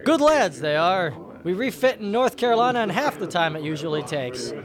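An actor playing a boastful, menacing pirate captain in an audio drama, with a blustering, swaggering delivery. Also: male voice, high voice, big pitch swings, moderate pace, crowd noise. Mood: hearty.

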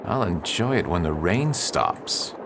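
An actor playing a stern, prim missionary reverend who is tense and curt in a radio play. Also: male voice, low-pitched, sing-song, a little slow, very noisy, heavily acted. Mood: irritated. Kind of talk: radio theatre acting.

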